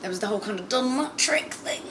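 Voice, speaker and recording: Chatty young woman guest, animated. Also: medium pitch, fast talker, over a noisy crowd. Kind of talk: casual chat.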